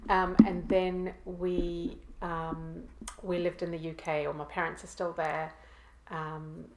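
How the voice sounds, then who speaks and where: Guest: woman from Malawi, low gravelly voice, halting delivery, echoey room. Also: flat delivery, background noise. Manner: hesitant, many pauses and restarts. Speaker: guest, cookbook author. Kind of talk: conversational interview answers.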